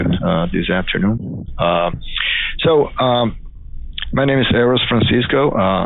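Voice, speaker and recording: Gravelly Brazilian-accented man on phone. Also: fairly low pitch, no room sound. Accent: Brazilian Portuguese. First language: Portuguese. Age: middle-aged. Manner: hesitant, many fillers and restarts. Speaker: guest, crop scientist. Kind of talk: interview answers. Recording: dry phone-like audio.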